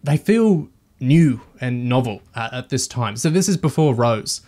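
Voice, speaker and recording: Guy hosting a review podcast, mid-pitched voice, chatting enthusiastically. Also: animated, a little slow, darkish tone. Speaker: podcast host leading a review discussion.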